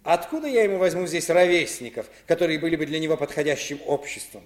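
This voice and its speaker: A man's voice: fairly high pitch, lively intonation, fast talker, close to an everyday voice. A man playing a stern, snobbish, pompous father in a radio play. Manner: pompous, formal. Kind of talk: 1950s Soviet radio play acting.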